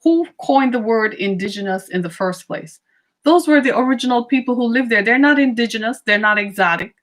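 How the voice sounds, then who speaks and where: Impassioned middle-aged woman speaker. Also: fairly quick. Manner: emphatic, rhetorical questions, lively shifts in pitch and pace. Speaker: speaker on racism. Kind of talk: impassioned monologue.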